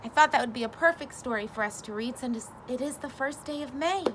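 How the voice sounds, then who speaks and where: A woman teacher reads a children's story aloud, slowly and expressively. Her voice is bright and a little high, with a faint hiss behind it.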